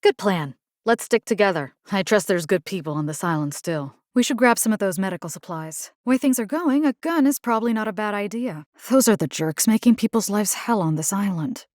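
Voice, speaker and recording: A woman's voice: medium pitch, lively intonation, brisk, hardly any pauses, darkish tone, dry recording, clean studio sound, lightly acted. An actress playing a caring, tough young woman survivor in a video game, talking in a casual, wry way. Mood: alert, dry. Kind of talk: video game character dialogue lines.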